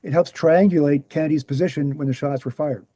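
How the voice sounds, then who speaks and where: Man reading as a mellow, muted male researcher. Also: fairly high pitch, steady tone, fairly quick, slight background noise, natural voice. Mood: assured. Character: Gary, earnest male presenter, calm debunking researcher. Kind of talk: screenplay table read, explanatory speech.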